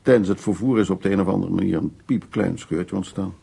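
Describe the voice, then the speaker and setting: An actor playing a worldly, self-assured, flirtatious middle-aged art restorer, speaking in short, familiar, unhurried phrases in a naturalistic radio drama. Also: male voice, deep voice, vocal fry, dark tone, husky, heavily acted. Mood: relaxed self-assurance.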